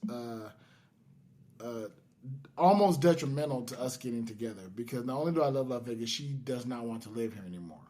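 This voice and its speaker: Male podcast host, mid-pitched, casual storyteller with a halting, self-deprecating delivery. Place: in-person podcast recording in Las Vegas.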